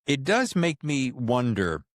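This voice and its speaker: Male podcast host, mid-pitched with a swooping range, enthusiastic and conversational. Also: very animated, takes it slowly, no echo, studio quality. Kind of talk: casual interview chat.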